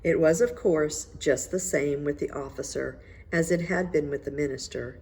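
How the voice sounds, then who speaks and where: A woman reading a children's fairy tale aloud in an expressive storytelling style. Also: sits low, moderate pace, slightly rough. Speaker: storybook reader.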